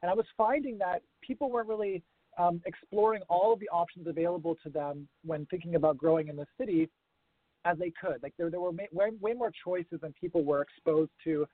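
A man's voice: high voice, a little fast, dry recording. A man with an American-sounding accent, a guest in a remote interview, explaining things thoughtfully with some fillers. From grew up around the world. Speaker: guest, urban farming consultant. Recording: tinny phone line.

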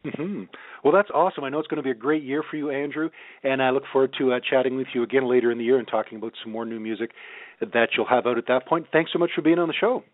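A man's voice: mid-range voice, fast, dry recording. Male radio host, thin phone-like sound. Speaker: radio host interviewing a musician. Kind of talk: radio interview. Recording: narrow phone-like band.

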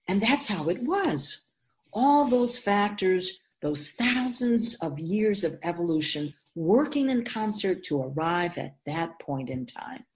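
A woman playing an ageless, wise spirit guide in a radio drama, explaining in a measured, emphatic, instructive way with deliberate pauses. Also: medium pitch, animated, a little mellow. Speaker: teacher figure in a radio drama.

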